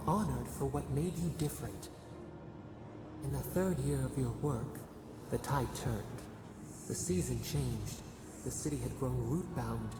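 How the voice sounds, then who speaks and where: An actor voicing an ancient collective nature spirit in a fantasy game, solemn and mournful, with a gravelly, portentous, incantatory delivery that echoes under music. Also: male voice, mid-range voice. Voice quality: gravelly, creaking. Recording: cavernous echo, distant, under loud music.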